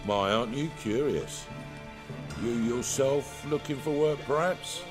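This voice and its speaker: A man's voice: mid-range voice, unhurried, music in the background, close to an everyday voice. An actor playing a wary, probing townsman in a fantasy video game, with an insinuating manner. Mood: suspicious, probing.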